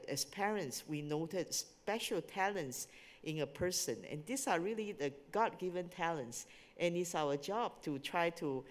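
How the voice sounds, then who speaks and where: A woman's voice: low voice, long reverb tail. A woman from Singapore and Taiwan, a scientist on a symposium panel, telling her story in a fluent, composed way. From born in Taiwan, grew up in Singapore. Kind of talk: panel answers.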